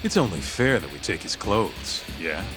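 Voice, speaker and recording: Low-voiced, animated streetwise tough guy. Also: moderate pace, music in the background. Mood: smug. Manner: blunt, slangy, swearing. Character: gruff, streetwise tough-guy sidekick, crude but loyal. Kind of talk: video game cutscene acting.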